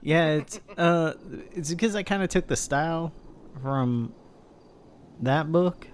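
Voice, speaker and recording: A man's voice: fairly high pitch, takes it slowly. A man in his twenties chatting casually in character during a roleplay, rambling with lots of restarts. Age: 20s. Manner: rambling, self-interrupting storyteller.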